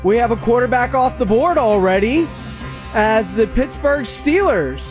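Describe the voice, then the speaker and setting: A man co-hosting a sports radio show, relaxed in banter and reading news updates. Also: very high-pitched, a little slow, music in the background. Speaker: radio co-host. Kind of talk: casual sports radio talk. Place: radio studio.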